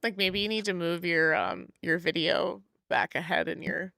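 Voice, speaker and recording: A woman co-hosting a casual podcast over a video call, giggly and upbeat, her voice a little raspy after a weekend wedding. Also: lowish voice, steady tone, normal speed, bright, forceful tone, dry recording, studio quality. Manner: giggly, upbeat, frequent laughs and fillers. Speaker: podcast co-host. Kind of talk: casual chat. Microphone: video call setup.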